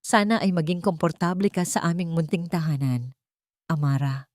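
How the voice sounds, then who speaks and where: Woman narrator as a haughty matriarch, cold, contemptuous, measured, lowish voice, storybook drama. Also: darkish tone, no room sound, clean studio sound, lightly acted. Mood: icy politeness. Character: haughty, cold, resentful mother-in-law, a rich matriarch.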